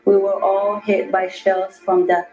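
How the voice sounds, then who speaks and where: A woman playing an eighteen-year-old, reading a scripted first-person account in a steady way. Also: mid-range voice, a little slow, lightly acted. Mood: somber. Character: eighteen-year-old girl caught in shelling. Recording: echoey room with faint music.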